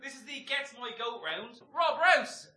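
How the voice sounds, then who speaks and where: A man's voice: extremely high pitch, big pitch swings, unhurried. Brash man compering a comedy night. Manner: brash, swearing crowd banter. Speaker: comedy show host. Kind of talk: live stand-up compering. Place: comedy club stage. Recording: roomy live venue with music.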